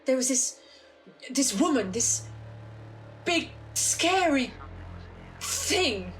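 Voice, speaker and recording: A woman playing an excitable television viewer in an audio drama, exclaiming at a spooky show with urgency. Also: sits high, very slow, full character voice, poor audio. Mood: alarmed.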